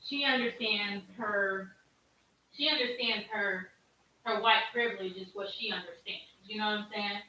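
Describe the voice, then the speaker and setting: A woman with a big, bright voice states her opinions bluntly and keeps tagging on "you know what I'm saying." She is off camera, well away from the mic. Her voice comes through roomy and distant, over a faint hiss. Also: mid-range voice, fairly even intonation, normal speed. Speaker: guest in a stream. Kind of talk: casual opinionated talk.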